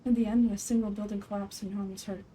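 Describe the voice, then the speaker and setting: A woman's voice: mid-range voice, flat delivery, quick pace, warm tone, a little hiss or hum, lightly acted. A woman voicing a solemn villager who recounts a local legend in a fantasy game, in a storytelling manner.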